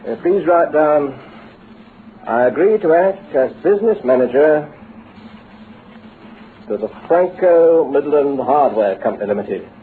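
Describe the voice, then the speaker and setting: A smooth-talking British businessman in an old radio drama. His voice swings animatedly as he flatters and persuades in a brisk, avuncular way. The sound is thin and band-limited, with a light hiss. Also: on the high side, narrow, tinny audio. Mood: brisk, businesslike.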